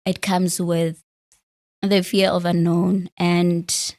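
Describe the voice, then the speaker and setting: A woman's voice: lowish voice, level tone, takes it slowly, darkish tone. A woman in her late thirties, a podcast host talking openly and with some self-deprecating humor in a conversational interview. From Free State, South Africa. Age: late 30s. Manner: open, self-deprecating, laughs easily.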